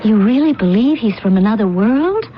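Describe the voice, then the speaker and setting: A woman playing a gentle, curious young rescuer in a radio drama, speaking informally with some hesitation. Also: a little high, lively intonation, moderate pace, faint music behind, natural voice. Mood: wondering. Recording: dull, slightly noisy vintage radio broadcast.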